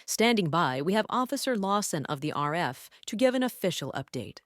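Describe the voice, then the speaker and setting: An actress playing a polished, emphatic news anchor delivering a mock broadcast in an audio drama. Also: female voice, lowish voice, big pitch swings, fairly quick, a little hiss or hum. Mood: urgent, professional.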